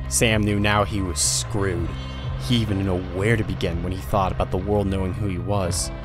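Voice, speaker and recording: A man narrating an audio drama in a steady, plain storybook style. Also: deep voice, moderate pace. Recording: music bed underneath.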